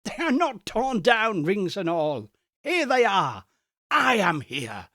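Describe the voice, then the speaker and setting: A man's voice: sits high, big pitch swings, slow pace, pushed and bright, no room sound, studio quality, voice pushed up, exaggerated voice. A man voicing an overjoyed reformed old miser in a storybook reading, exclamatory and full of childlike glee. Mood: excited relief. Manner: exclamatory, gleeful, whooping.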